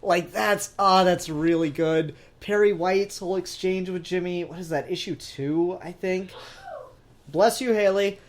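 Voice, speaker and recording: A man co-hosting a casual discussion, animated and sweary, prone to acting out bits of dialogue. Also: high-pitched, unhurried. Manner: animated, profane, acts out quoted dialogue. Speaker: podcast co-host discussing comics. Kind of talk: casual chat.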